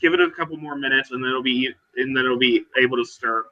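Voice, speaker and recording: A man casually narrating a tabletop roleplay, haltingly searching for his words, on a dull, lo-fi recording. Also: mid-range voice.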